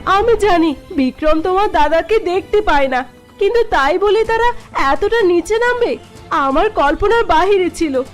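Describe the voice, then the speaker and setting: A woman's voice: very high-pitched, lively intonation, normal speed, soft background music. A woman voicing a distraught, devoted village wife in a cartoon fairy tale, speaking plaintively and melodramatically. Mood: anxious, pleading. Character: distraught, devoted village wife fretting over her missing husband.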